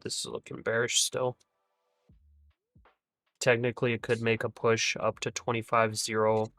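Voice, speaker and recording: A man hosting a live day-trading stream, thinking aloud over charts, often trailing off into quiet remarks and laughing easily. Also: lowish voice, no room sound. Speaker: day-trading livestream host. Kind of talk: live trading commentary with guests.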